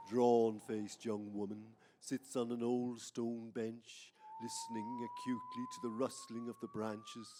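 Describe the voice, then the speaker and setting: A man playing a gleefully macabre horror radio host in an old-time style, with a theatrical, drawn-out, mock-sinister delivery. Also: medium pitch, dark tone, slight background noise. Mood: hushed, ominous.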